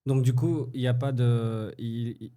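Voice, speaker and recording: Brief: man co-host, warm mellow tone, insistent explainer, casual football debate. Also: mid-range voice, steady tone, moderate pace. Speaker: podcast co-host.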